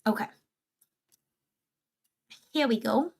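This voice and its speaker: A woman's voice: fairly high pitch, slow pace, vocal fry, a little mellow, slightly rough. A woman hosting a livestream, giving casual, opinionated reaction commentary in an emphatic way with rhetorical questions. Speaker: livestream host.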